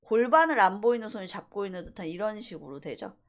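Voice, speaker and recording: A woman instructor giving a casual, explanatory screen-recorded software tutorial, slipping between polite and plain speech. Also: mid-range voice, lively intonation, fast talker. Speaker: animation instructor.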